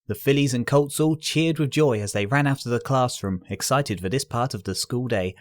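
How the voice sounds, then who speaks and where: A man reading a scripted story aloud as narrator, in an expressive, earnest and dramatic storytelling style. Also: medium pitch, moderate pace, no room sound, clean studio sound.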